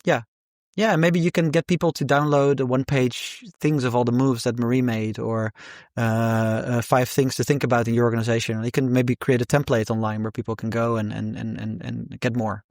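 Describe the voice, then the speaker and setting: A man's voice: medium pitch, little pitch movement, moderate pace, dry recording, clean studio sound. A man with a Dutch accent, a guest author talking casually in an interview, often repeating words as he searches for the right phrasing.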